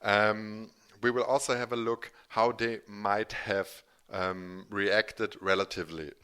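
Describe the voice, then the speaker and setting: Deep-voiced German man explaining things. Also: level tone, slow pace, fairly bright tone, smooth. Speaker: financial educator and channel host. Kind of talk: informal explanatory presentation.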